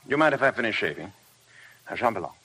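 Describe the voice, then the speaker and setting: An actor playing a moody, commanding upper-class gentleman with an English accent, brusque and self-possessed, in 1950s radio drama. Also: on the low side, big pitch swings, fast, breathy edge, full character voice, low-quality recording. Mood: impatient, teasing.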